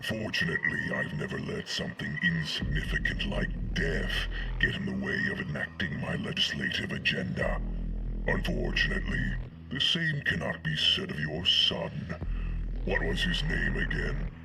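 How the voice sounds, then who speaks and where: An anime audio-drama villain, an undying galactic tyrant with an extremely deep voice and heavy gravel. His delivery swings dramatically, pompous and coldly mocking, savoring each phrase over loud music. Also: very animated, moderate pace, noisy background, heavily acted. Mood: mocking menace.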